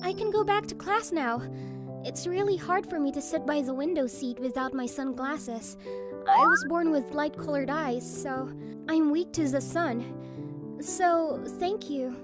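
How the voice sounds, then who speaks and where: A shy, gentle teenage girl in a manga dub. Her voice sits very high and she speaks slowly and hesitantly. Music plays under the voice. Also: sing-song. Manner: soft, hesitant. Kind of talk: anime dub voice acting. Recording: music bed behind voice.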